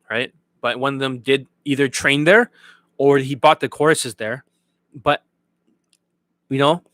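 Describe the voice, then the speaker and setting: A man's voice: medium pitch, a bit bright, no room sound. A man coaching viewers on dating in a blunt, profane rant, often checking that his listeners follow. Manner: blunt, profane, repeatedly asks if viewers get it. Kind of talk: unscripted livestream rant to viewers.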